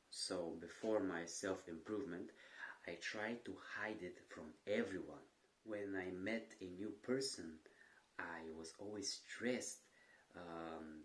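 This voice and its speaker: A young man sharing advice in a calm, earnest manner, with occasional brief stutters. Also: low voice. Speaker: stuttering coach. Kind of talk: talking-head advice vlog.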